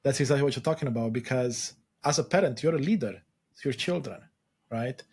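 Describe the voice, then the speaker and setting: A man's voice: medium pitch, brisk, darkish tone, slightly rough. A man from Romania, co-hosting a podcast in a casual, reflective way and often checking in with "right?". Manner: affirming, reflective, tags statements with "right?". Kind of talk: casual conversational podcast chat.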